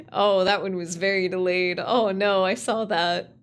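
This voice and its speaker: A woman's voice: mid-range voice, steady tone, slow, clear, resonant tone. A woman livestreamer chatting casually and playfully with viewers, rambling and swearing as she goes. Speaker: streamer, writer and voice actor.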